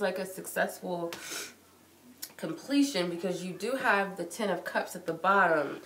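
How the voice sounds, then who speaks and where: A woman with a cold and a stuffy nose, giving a casual live-streamed tarot reading in a chatty, loose way full of likes and ums. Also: fairly low pitch. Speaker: tarot reader. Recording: echoey room with noise.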